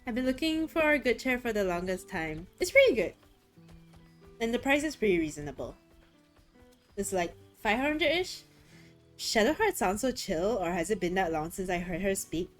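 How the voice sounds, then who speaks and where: Woman, streamer, casual gaming chat, excitable, swears, slightly rough throat, soft background music. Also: mid-range voice, moderate pace. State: throat a bit rough, voice deeper than usual.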